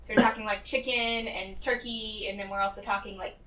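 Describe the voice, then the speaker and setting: A woman's voice: medium pitch, steady tone, fairly quick, bright, forceful tone. A woman playing a tabletop game, chatting casually and improvising as she goes. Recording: roomy, distant mic with background noise.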